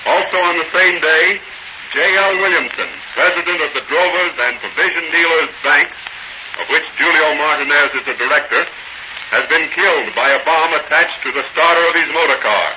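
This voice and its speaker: A man's voice: fairly high pitch, moderate pace, noisy background. A man narrating a radio serial as its announcer in a formal, dramatic 1940s style. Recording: muffled vintage 1940 radio recording. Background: music.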